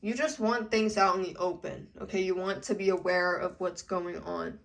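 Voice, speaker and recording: A woman's voice: on the low side, fairly even intonation, moderate pace, gravelly. A young adult woman giving a casual tarot reading to camera, chatty and full of "okay?" check-ins, her voice a little congested from allergies. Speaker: tarot reader.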